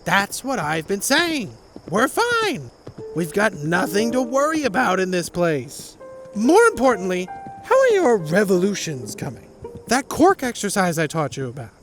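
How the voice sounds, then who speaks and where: Cocky male anime racer performed by a man, creaky voice, wildly varied pitch, theatrical, emphatic, brash mentor type. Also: wildly swooping pitch, measured pace, music in the background, heavily acted. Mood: assured. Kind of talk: dramatic anime-style fandub acting.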